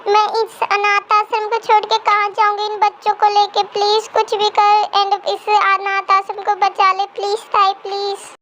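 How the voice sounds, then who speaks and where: A woman's voice: very high voice, monotone, moderate pace, bright, forceful tone, slight background noise. A woman playing a distraught female orphanage caretaker in an amateur audio story. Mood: pleading.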